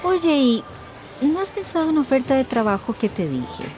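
An actress playing a chatty woman recruiter in a radio soap, persuasive and smoothly reassuring. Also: high voice, very animated, brisk, mellow, faint music behind.

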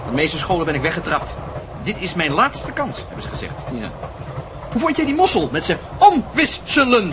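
An actor playing a cocky, evasive teenage schoolboy in a radio play, flippant and theatrical in delivery. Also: male voice, sits high, wildly swooping pitch, normal speed. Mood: defiant, flippant. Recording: noisy radio play recording.

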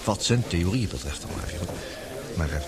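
A man's voice: low-pitched, expressive, fairly quick. A man acting in a Dutch radio play as an affable, chatty second-in-command, with an informal and sociable manner. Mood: confiding. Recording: radio play with clear crowd murmur.

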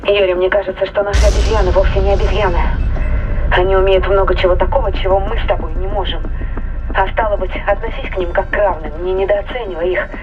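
An actress playing a wary, insistent spaceship crew member in an audio drama, earnest and analytical, heard through a radio intercom filter. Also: female voice, medium pitch, steady tone, quick pace, dull audio. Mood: urgent warning. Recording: band-limited radio voice over music.

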